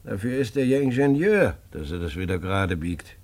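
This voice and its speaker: An actor playing a calm, dismissive middle-aged husband and father in a radio drama, unhurried and placating. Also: sits low. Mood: calm, cautioning. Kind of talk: German radio play acting.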